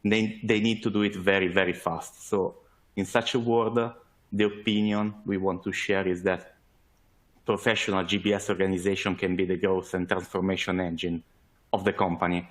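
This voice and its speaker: A man with an Italian accent presents on a webinar in a very low, flat voice. He speaks in a measured way, pausing often on fillers as he works through his points. He sounds like he is on a video call. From Italy. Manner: hesitant, many fillers, measured. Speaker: webinar presenter, sales manager.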